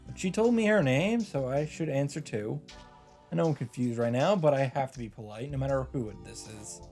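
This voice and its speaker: A man's voice: medium pitch, fairly quick, darkish tone, soft background music. A man streaming a visual novel, reading the narration aloud and adding casual asides of his own.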